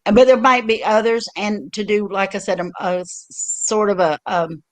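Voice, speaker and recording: A woman's voice: mid-range voice, moderate pace, low-quality recording. An older woman hosting her own livestream, telling stories in a loose, chatty way with lots of fillers and restarts. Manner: rambling storyteller with many fillers and restarts. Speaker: livestream host. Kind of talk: casual chat.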